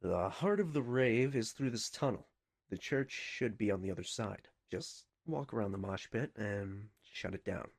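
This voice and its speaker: A male headquarters dispatcher for a video game, with a lowish voice in a dry, close recording. He is bossy and easily exasperated. His briefings are deadpan and official, laced with goofy sarcasm. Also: normal speed, gravelly, no room sound.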